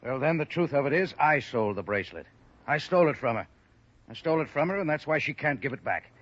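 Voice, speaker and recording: A man acting a stocky, hot-tempered and loyal Irish man over forty in a 1950s radio drama, husky, blunt and defiant. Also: fairly high pitch, lively intonation, fast. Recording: dull restored old radio recording.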